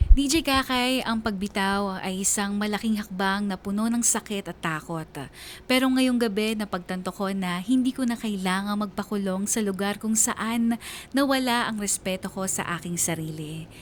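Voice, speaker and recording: A woman voicing a heartbroken letter writer in scripted first-person narration, reflective and emotional, with deliberate phrasing. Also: medium pitch, a little hiss or hum, natural voice. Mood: resolved, pained.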